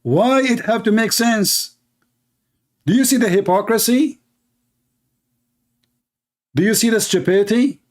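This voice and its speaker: High-voiced man mocking in debate. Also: a little slow, pauses often. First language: Arabic. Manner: mocking, sarcastic, emphatic. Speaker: debate show host. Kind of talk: live online debate commentary.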